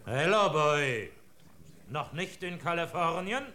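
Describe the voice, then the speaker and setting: An actor playing a brash adventurer in a German radio drama, egging others on. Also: male voice, on the high side, animated, slow pace, sharp tone, exaggerated voice. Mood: brash, eager.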